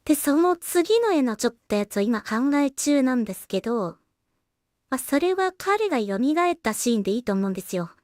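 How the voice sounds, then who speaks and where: A woman's voice: high-pitched, very animated, a little fast, darkish tone, no room sound, studio quality. A woman creator casually thinking aloud as she works, muttering hesitantly to herself with polite asides to viewers. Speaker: solo animation creator and streamer.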